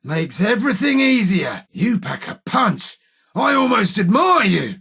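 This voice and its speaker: An actor playing a cocky, menacing street-gang gunman in a video game, with a raspy voice, a working-class English accent and a taunting, slangy manner. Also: high-pitched, big pitch swings, unhurried, bright, forceful tone, exaggerated voice. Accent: British, working-class English. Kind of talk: video game character voice lines, combat barks.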